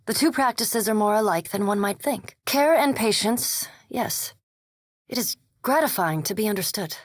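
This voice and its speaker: A reserved vampire scholar speaks in a medium-pitched woman's voice, choosing words with formal precision. The game dialogue is measured and coolly polite, recorded close and dry with no room sound. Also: dry recording. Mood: dry, composed. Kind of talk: video game character dialogue.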